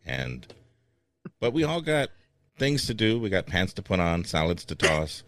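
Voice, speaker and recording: A low-voiced man hosting a comedy podcast, bouncing his pitch around as he jokes. His voice sits dry and close, with no room sound. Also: sing-song, measured pace, fairly bright tone, dry recording. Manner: joking, tosses to segments. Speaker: podcast host. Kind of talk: casual comedic chat.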